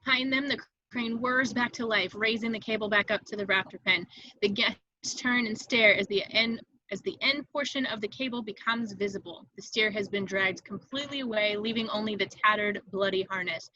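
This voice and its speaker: A woman's voice: mid-range voice, moderate pace, pushed and bright, no echo. A woman reading stage directions as the narrator of a script table read, in a slightly dull, dry home recording.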